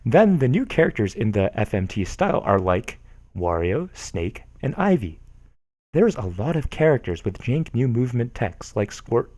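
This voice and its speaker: A man's voice: medium pitch, sing-song, moderate pace, a little mellow, no echo. A young man reading online posts aloud to viewers, with amused, chuckling asides in between. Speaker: streamer reading forum posts.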